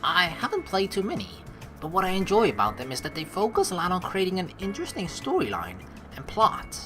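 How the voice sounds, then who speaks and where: A woman giving casual, excitable gameplay commentary in an informal way, swearing freely. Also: fairly low pitch, brisk, faint music behind. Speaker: let's play streamer. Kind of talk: casual gameplay commentary, reading game text aloud.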